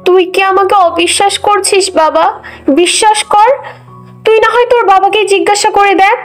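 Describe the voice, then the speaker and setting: Very high-pitched scheming mother-in-law acted by a woman. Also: fairly even intonation, fairly quick. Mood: feigned concern. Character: scheming cruel older mother-in-law, scolding and two-faced. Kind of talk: Bengali moral-story cartoon voice acting. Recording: music bed under the voice.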